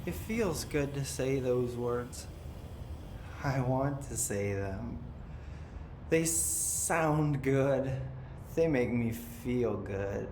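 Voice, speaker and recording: A man's voice: mid-range voice, animated, darkish tone. An actor playing an unhinged, possessed captive man in a video game, chanting cryptically with eerie detachment. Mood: eerie, detached. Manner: slow, chanting, cryptic repetition. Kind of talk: dramatic video game acting. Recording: roomy echo with clear background noise.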